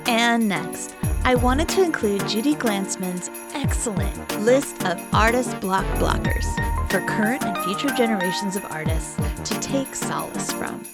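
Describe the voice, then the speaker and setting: A woman's voice: medium pitch, animated, measured pace. A woman narrating scripted links between clips as a podcast host, in a friendly announcing style. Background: loud jingle-bell holiday music.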